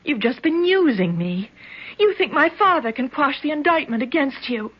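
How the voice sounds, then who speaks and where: An actress playing a headstrong young daughter of a senator, hurt but defiant and earnest, in a 1970s radio drama. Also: female voice, high-pitched, animated, normal speed. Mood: hurt, accusing. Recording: dull 1970s radio recording.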